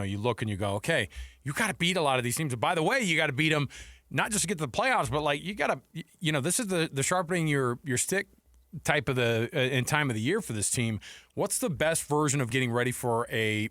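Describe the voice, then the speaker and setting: A man hosting sports radio in a casual, rambling way, building up to his questions with halting repeats. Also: mid-range voice, fairly bright tone, no echo, clean studio sound.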